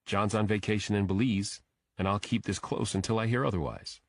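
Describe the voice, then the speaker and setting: A man's voice: lowish voice, steady tone, brisk, dry recording, clean studio sound. A man voicing a serious FBI agent who briefs a colleague in a terse, official way, in an audiobook. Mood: guarded, serious. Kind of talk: audiobook character dialogue.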